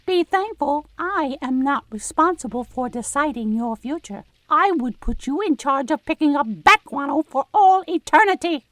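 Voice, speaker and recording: A woman's voice: high-pitched, lively intonation, moderate pace, few pauses. A woman playing a stern, scornful official of hell in an audio drama, with an imperious, scolding manner. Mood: scornful, angry.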